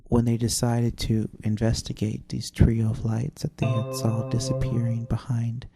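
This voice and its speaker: A man playing a salty old sea captain, telling a rambling, halting seafaring tale with dramatic pauses in an old-time radio adventure style. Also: mid-range voice, level tone, creaky, close to an everyday voice. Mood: mysterious, wondering. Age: older. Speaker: storyteller. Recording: voice over easy listening music. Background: easy listening soundtrack.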